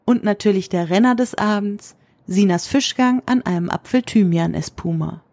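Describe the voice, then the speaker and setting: Female narrator for a scripted audio drama, mid-pitched voice, literary storytelling delivery. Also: normal speed, a little mellow, no echo.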